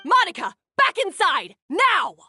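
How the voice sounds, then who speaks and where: A domineering woman chef from a video game, with a very high, biting voice that swings sharply in pitch. She is contemptuous and unyielding, delivering cutting commands as if nobody may question her. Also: huge pitch swings, unhurried, bright, forceful tone, exaggerated voice. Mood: barking orders. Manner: scolding, imperious, cutting.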